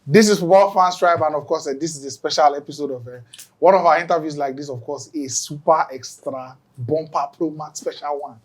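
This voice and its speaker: A man's voice: a little high, fairly quick. A man with a Nigerian accent hosting a football fan show, opening with playful energy and slipping into pidgin. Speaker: host. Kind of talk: hyped-up show opening and interviewing.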